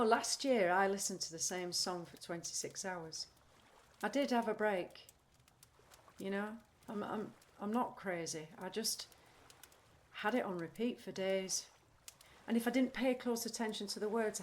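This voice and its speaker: Woman acting an anxious, halting woman. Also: mid-range voice, a little hiss or hum, close to an everyday voice. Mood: earnest, hesitant. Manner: halting, searching for words, repeats herself. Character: troubled, candid, anxious neurodivergent woman. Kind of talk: audio play acting, confessional monologue.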